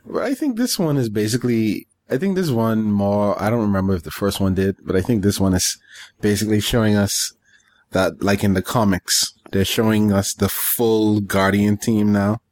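A man chatting casually as a podcast panelist, halting now and then as he searches for words. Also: fairly low pitch, darkish tone. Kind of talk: casual chat.

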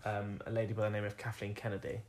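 A man with a croaky voice, co-hosting a casual film review podcast and rambling through anecdotes with frequent ums and laughs. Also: lowish voice, monotone, fast talker. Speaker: podcast co-host.

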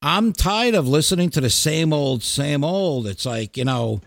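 Blunt, salty middle-aged man, higher-pitched voice. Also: expressive, unhurried, vocal fry, no room sound. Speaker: podcast host. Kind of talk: casual chat about music. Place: remote Skype call, audio show.

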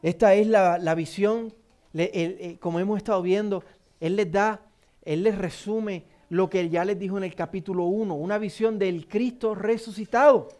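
A man's voice: sits high, moderate pace, darkish tone. A man with a Puerto Rican accent, preaching a sermon, reading scripture emphatically and repeating key phrases. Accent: Puerto Rican Spanish. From Puerto Rico. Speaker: pastor.

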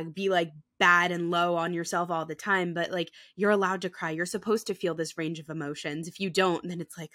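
A woman's voice: fairly low pitch, a bit bright, no room sound, studio quality. A woman hosting a podcast, talking in a chatty, upbeat way with lots of fillers. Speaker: podcast host. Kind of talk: casual podcast chat.

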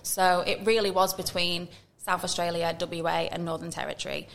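A chatty woman from Manchester with a broad Northern English accent. Her lowish voice carries a gravelly fry as she talks through her story. She comes across as bubbly and quick with her asides. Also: little pitch movement. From Manchester, England. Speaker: podcast guest, recruiter. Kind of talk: casual interview chat.

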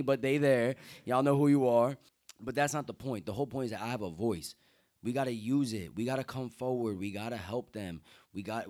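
An earnest young man in casual conversation. He talks as a guest, and his mid-range voice climbs into loud, excited stretches when he gets fired up. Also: medium pitch. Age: young adult.

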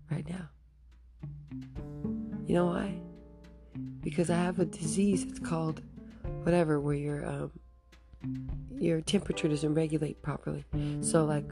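A woman hosting her own podcast, speaking in a rambling, casual monologue with a raspy voice she is losing, and breaking into nervous laughs. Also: lowish voice. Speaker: podcast host.

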